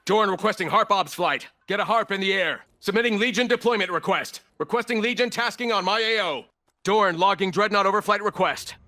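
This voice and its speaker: Video game operator: ruthless corporate soldier, high, sharp voice, clipped orders, cold contempt. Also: male voice, a little fast. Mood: clipped, commanding. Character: ruthless, arrogant male military operator and cold corporate commander. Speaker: playable operator in a military shooter game. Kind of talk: video game combat barks and callouts.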